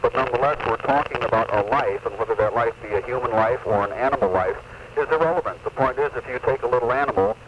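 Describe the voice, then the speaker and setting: An earnest man with a mid-pitched voice talks in wordy, formal sentences on talk radio. The 1970s AM cassette recording is muffled and buried in loud noise. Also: fast.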